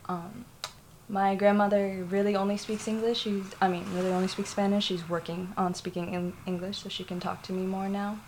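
A woman's voice: medium pitch, flat delivery, fairly quick, a little mellow, a little hiss or hum. A young woman from Florida with a Puerto Rican family, telling a personal story in a candid, rambling way, full of fillers and laughs. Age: young adult. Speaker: interviewee.

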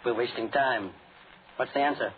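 A man's voice: mid-range voice, moderate pace, close to an everyday voice. An actor playing a suave, formally polite, menacing man in a 1940s radio drama. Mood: cold menace. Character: suave, coldly polite man threatening murder. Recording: tinny, noisy 1940s radio recording.

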